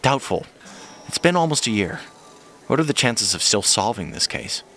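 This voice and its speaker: A man's voice: medium pitch, very animated, moderate pace, a bit bright. A man playing a skeptical, candid friend of a detective, emphatic and sardonic, in a naturalistic audio drama.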